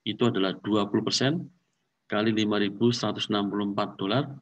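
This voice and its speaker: A man with a fairly low voice gives a careful, methodical accounting lecture. He goes through the numbers step by step and repeats key figures and terms. He sounds a little far from the microphone. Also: fairly even intonation, fast, lo-fi sound.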